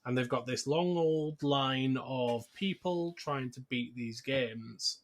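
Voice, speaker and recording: A man's voice: medium pitch, slow. Man casually recapping a film. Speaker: podcast co-host.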